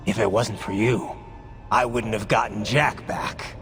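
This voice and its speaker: An actor playing a grim, sardonic, battle-haunted cyborg soldier in a video game, terse and gravelly. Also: male voice, lowish voice, steady tone, unhurried, loud music behind the voice, lightly acted. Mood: wry, resigned. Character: brooding male cyborg soldier, tough, sardonic, haunted by violent past. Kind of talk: video game cutscene acting.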